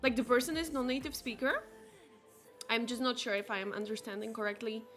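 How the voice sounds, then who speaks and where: A young Slovak-accented woman chats casually with her viewers, her voice bright and fairly high. Her English is a second language, and she fills her sentences with "like" and "you know" as she reacts to the chat. Soft game music plays underneath. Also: steady tone, brisk. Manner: chatty, heavy use of "like", reads viewers' chat aloud. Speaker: streamer. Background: faint game music.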